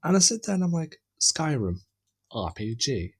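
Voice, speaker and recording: A man from England co-hosting a casual gaming podcast, running low on sleep and rambling in an animated, self-deprecating way. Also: normal speed, slightly rough. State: tired from lack of sleep. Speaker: podcast co-host.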